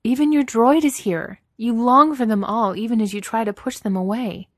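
Woman voicing a proud, defiant warrior woman, raised pitch. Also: fairly even intonation, moderate pace, no echo, clean studio sound, close to an everyday voice. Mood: challenging. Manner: pointed, challenging. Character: proud, defiant former female apprentice, sad but tart.

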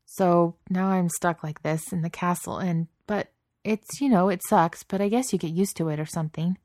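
A woman's voice: on the low side, moderate pace, warm tone, no room sound, studio quality, close to an everyday voice. A woman voicing a huge but timid, apologetic young male beast in a story, hesitant and stammering, with teenage slang. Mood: awkward, confiding. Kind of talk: audiobook character voice.

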